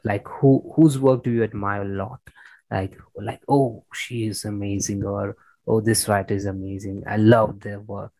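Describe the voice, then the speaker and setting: A man's voice: on the low side, fairly even intonation, normal speed, mellow, no room sound. A man hosting a casual interview, encouraging his guest and often repeating and rephrasing himself as he goes. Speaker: interviewer, host.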